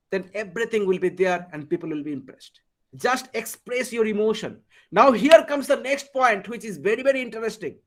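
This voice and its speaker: A man with an Indian English accent leads a live online class over a video call. His voice sits high for a man. He quizzes his students and says key words twice. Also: a bit bright. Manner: asks the class questions, repeats words for emphasis. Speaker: English communication trainer. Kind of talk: live online workshop lecture.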